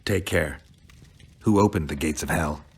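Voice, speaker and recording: An actor playing a gruff, stoic monster hunter in a video game, with a gravelly voice and terse, flat delivery. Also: male voice, rumbling low, measured pace, heavily acted. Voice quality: gravelly, husky.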